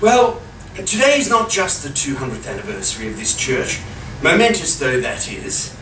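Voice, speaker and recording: A man's voice: a little high, big pitch swings, moderate pace, a bit bright. A man playing a genial, bumbling village vicar in an amateur radio comedy, genteel and hesitant. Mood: earnest. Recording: noisy, echoey live room, distant mic.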